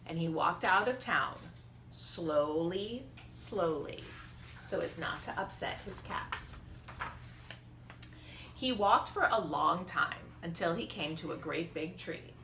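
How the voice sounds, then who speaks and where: A woman preschool teacher reading a storybook aloud to young children, expressive and deliberate in her emphasis. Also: fairly low pitch, creaky. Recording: echoey room with faint noise.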